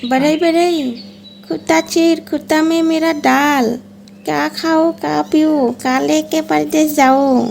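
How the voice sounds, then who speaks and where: A woman acts out a small, hungry female bird from a children's cartoon tale. The voice is high and slightly creaky, chanting pleas in a slow sing-song rhyme. Faint noise sits behind it. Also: steady tone, a little mellow, heavily acted. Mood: pleading. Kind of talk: children's cartoon moral story.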